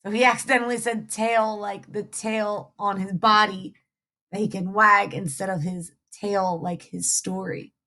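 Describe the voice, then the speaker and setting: A woman's voice: medium pitch, fairly even intonation, measured pace, sharp tone. A woman reading a picture book aloud as a storyteller, explaining things simply for young children.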